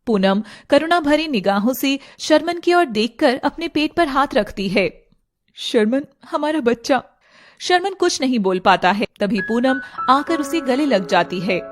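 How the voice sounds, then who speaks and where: High-pitched woman narrating a dramatic story. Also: animated, a little slow.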